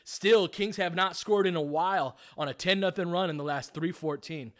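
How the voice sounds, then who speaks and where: A man's voice: high-pitched, normal speed. A man doing live basketball play-by-play, speaking in clipped, informal phrases and adding tactical analysis as he goes.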